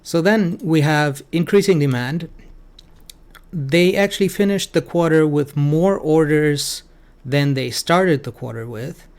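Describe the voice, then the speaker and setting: Clear-voiced man giving halting financial commentary. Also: fairly high pitch, darkish tone. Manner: hesitant, halting delivery with frequent ums. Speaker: financial commentator hosting a stock analysis show. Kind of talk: informal analytical commentary.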